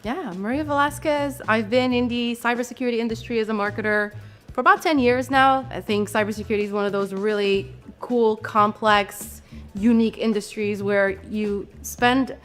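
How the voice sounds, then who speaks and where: A woman's voice: on the high side, fairly even intonation, moderate pace, a bit bright. Chatty woman guest, music under. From Morocco. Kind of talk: casual conversational interview.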